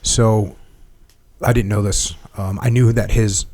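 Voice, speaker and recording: A man's voice: fairly low pitch, fairly even intonation, takes it slowly, warm tone. A middle-aged man hosting a podcast, asking blunt, probing questions and telling a story plainly. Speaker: podcast host. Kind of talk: casual conversational interview.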